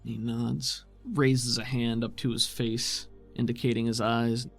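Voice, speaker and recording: A man narrates a tabletop game as game master. His voice is gravelly and husky, with a flat, even delivery over faint music. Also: mid-range voice, a little slow.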